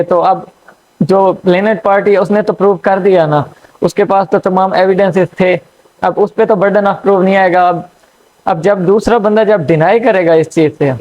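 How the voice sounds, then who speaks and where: A man giving a law lecture, explaining slowly with frequent fillers and checks. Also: on the high side, darkish tone. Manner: hesitant, frequent fillers and checks "theek hai". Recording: dull lo-fi recording.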